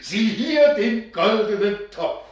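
A man's voice: expressive, slow pace, distant mic, highs cut off. A man playing a solemn, commanding elemental spirit in a radio play, proclaiming in stately tones. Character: solemn, commanding salamander spirit, old archivist. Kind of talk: German radio play acting. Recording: booming echo from afar, faint music behind.

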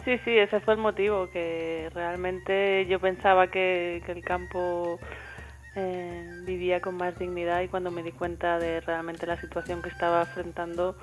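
A woman researcher speaking as a phone guest on a radio show, answering in a measured way. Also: fairly low pitch, little pitch movement. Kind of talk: phone interview. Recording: phone call, faint music.

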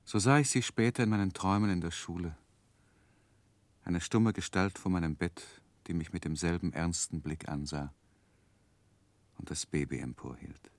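An actor playing a grown man who soberly recalls his childhood, narrating a 1960s radio play in a measured, reflective way. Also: deep voice, no echo. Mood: wistful, reflective.

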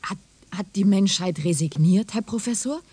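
A puzzled young visitor from the past in a radio drama. His voice is mellow and recorded very dry. He questions politely, with a hesitant, deferential manner. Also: high-pitched, moderate pace, slightly rough, no room sound. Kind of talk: German radio drama acting, questioning dialogue.

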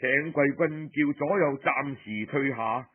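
A man's voice: medium pitch, unhurried, breathy edge, narrow, tinny audio, pitched below the performer's own voice. A man with a Cantonese accent voicing a deferential, scheming envoy with a formal, confiding manner in an oral storytelling. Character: deferential, scheming envoy quietly proposing a plot.

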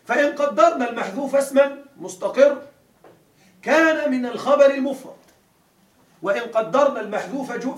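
High-voiced man teaching in an echoey classroom. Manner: explains step by step, quizzes students with rhetorical questions. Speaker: Arabic grammar teacher. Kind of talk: classroom grammar lesson. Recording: echoey classroom from a distance, faint hiss.

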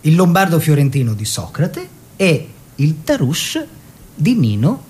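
An actor playing an earnest male painter, lover and teacher of his model, in a measured, declamatory theatrical radio drama style. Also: fairly high pitch, huge pitch swings, darkish tone. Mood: descriptive, lyrical.

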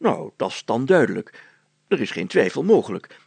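A self-important old-fashioned village mayor from a storybook, acted out by a man, with a husky, creaky voice. He hems and deliberates, and his pitch swings widely as he makes his pronouncements. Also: big pitch swings, a little mellow, no echo, heavily acted. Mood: assured, self-important. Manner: halting, hemming, then solemnly declaiming archaic text.

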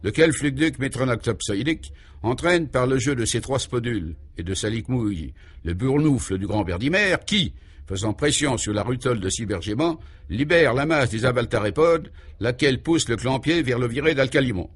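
A man's voice: mid-range voice, animated, moderate pace, husky. Man playing a pompous, exalted inventor lecturing in jargon. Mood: proudly explaining. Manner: grandiloquent, emphatic, oratorical.

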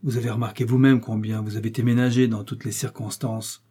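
A man reading an audiobook, voicing a powerful, scheming older cardinal who speaks in a smooth, persuasive, lofty way. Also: on the low side, little pitch movement, fairly quick, warm tone.

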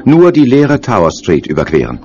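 Male narrator for audio thriller, low voice, scripted dramatic delivery, slightly muffled with faint noise. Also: wildly swooping pitch, normal speed, dark tone. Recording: dull sound with faint noise.

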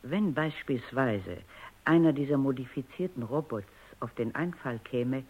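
An actress playing a stern, coolly analytical robot psychologist in a radio drama, precise, commanding and didactic. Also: female voice, extremely low pitch, animated, measured pace, breathy edge, exaggerated voice. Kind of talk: German radio drama acting. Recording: muffled, dull radio drama recording.

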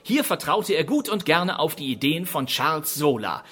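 A man playing a composed, polite English gentleman detective in a radio crime drama, making deliberate, theatrical revelations. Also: fairly high pitch, very animated, breathy edge, heavily acted. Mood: assured.

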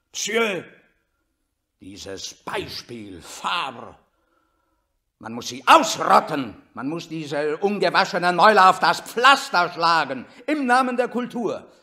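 A man's voice: on the high side, sharp tone. An actor in a German radio drama playing a haughty, imperious elderly statesman who declaims and sneers.

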